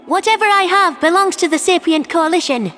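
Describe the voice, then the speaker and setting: A man's voice: extremely high pitch, expressive, brisk, sharp tone, faint music behind, voice pushed up, heavily acted. A man voicing a dignified, contrite female avian alien leader with a formal, penitent manner. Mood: contrite, resolved.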